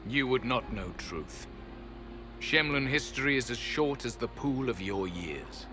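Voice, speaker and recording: An actor playing a solemn, proud ancient elf sentinel in a fantasy video game, speaking in a grave, formal and disdainful manner. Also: male voice, medium pitch, expressive, unhurried, sharp tone, soft background music.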